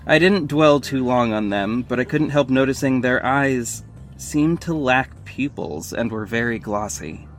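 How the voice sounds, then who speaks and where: A man's voice: mid-range voice, a little slow, close to an everyday voice. A man playing a wry young superhero who narrates his own mission in scripted first person. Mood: measured, descriptive. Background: music bed.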